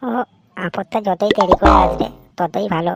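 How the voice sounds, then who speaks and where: A man's voice: sits high, fairly even intonation, normal speed, gravelly, over loud music. A man with a West Bengal accent voicing a sly, lecherous married man in an adult comedy cartoon, sweet-talking suggestively and backtracking. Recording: loud noise behind the voice.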